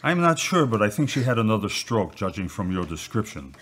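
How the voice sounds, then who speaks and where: A man acting a sober, apologetic hospital doctor in a radio drama, with a husky voice. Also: lowish voice, sing-song, fairly quick, a bit bright, slight background noise.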